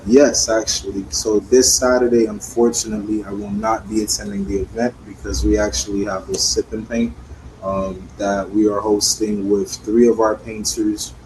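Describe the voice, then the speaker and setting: Man guest, low and monotone, casual, audible air-conditioning hum behind. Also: normal speed, poor audio. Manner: casual, hesitant, with fillers. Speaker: guest, videographer.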